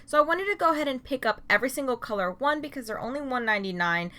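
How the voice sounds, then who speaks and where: A young woman hosts her beauty channel in a chatty, upbeat way, talking through one item after another. Her voice is bright and forward, and her pitch rises and dips as she talks. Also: expressive, very fast. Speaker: beauty vlogger. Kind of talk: casual product review.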